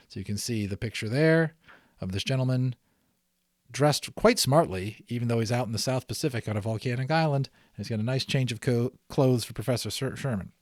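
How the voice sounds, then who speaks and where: Animated man reading a storybook aloud. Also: mid-range voice, dry recording, studio quality. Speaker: audiobook reader. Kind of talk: storybook read-aloud narration with explanatory asides.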